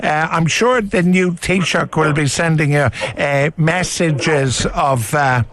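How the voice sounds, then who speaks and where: A man's voice: fairly high pitch, slow pace, fairly bright tone. A man with an Irish accent hosting a radio talk show, blunt and passionate as he interviews and comments. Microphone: studio microphone.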